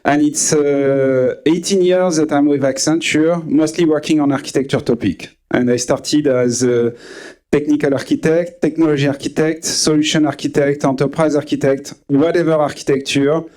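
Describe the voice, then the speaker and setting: A man in his forties from France with a French accent, giving a conference talk to a live audience, pausing often with fillers and restarts. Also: on the high side, fairly even intonation, darkish tone. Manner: hesitant, many uh fillers and restarts. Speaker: conference speaker.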